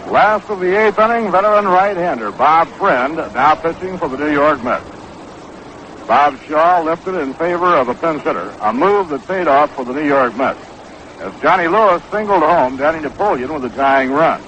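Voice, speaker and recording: A man's voice: a little high, expressive, moderate pace, a bit bright, dull audio, slight background noise. An American man calling baseball play-by-play in the style of a 1960s live radio broadcast. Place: outdoor ballpark broadcast booth.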